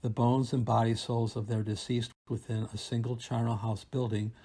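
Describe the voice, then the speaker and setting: A man reading a scripted academic presentation aloud in a formal, measured and deliberate manner. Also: medium pitch, little pitch movement, mellow, slightly rough. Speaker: academic researcher presenting a paper.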